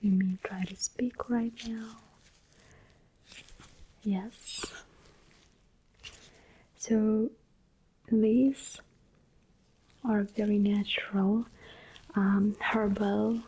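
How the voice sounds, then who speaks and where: A woman speaking softly and breathily in an ASMR medical roleplay, often slipping into a whisper, gentle and reassuring, close to the microphone. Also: on the high side, creaky, poor audio. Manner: gentle, reassuring, unhurried, softly checking with okay. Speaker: ASMR performer playing medical specialists in roleplay. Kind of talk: soft-spoken ASMR medical roleplay, close-up personal attention. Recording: close-up mic with faint background noise.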